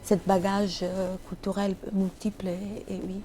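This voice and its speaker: Mellow-voiced middle-aged woman. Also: medium pitch, flat delivery, slight background noise. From Somalia. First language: Italian. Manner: halting, searching for words in a second language. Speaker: novelist being interviewed. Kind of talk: reflective interview answers.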